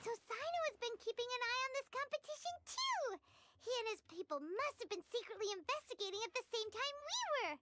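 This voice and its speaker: A tiny, perky fairy sidekick from an anime game. The voice is extremely high and squeaky, with a bright edge and bouncy, animated pitch swings. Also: female voice, brisk, a little hiss or hum, full character voice. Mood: excited. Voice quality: squeaky, childlike. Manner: exclaiming, perky. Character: small excitable childlike floating fairy companion.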